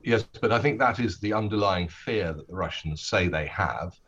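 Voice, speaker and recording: A British man speaking as a politician in an interview, making his case in an earnest, measured way with hesitant phrasing. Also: deep voice, fairly bright tone, husky, muffled recording.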